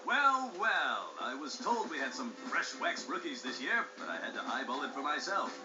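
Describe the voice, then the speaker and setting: An actor playing a brash, showy TV race announcer and commissioner in a video game, with slick, bombastic delivery. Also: male voice, mid-range voice, sing-song, normal speed, lightly acted. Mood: slick, threatening. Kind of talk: hyped sports-broadcast commentary. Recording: muffled game audio, echo, music underneath.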